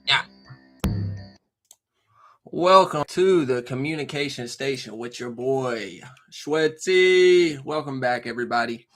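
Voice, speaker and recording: A man's voice: mid-range voice, slow pace, long pauses, a bit bright, faint music behind. Guy hosting his own channel, talks loose and cusses a lot, lots of filler, mid voice. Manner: loose and profane, with filler phrases. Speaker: host and tarot reader. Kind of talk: casual talk monologue to viewers.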